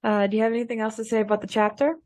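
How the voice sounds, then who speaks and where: A woman co-hosting a podcast in casual fan discussion, halting as she thinks aloud. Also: on the high side, little pitch movement, darkish tone, no room sound, studio quality. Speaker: podcast co-host.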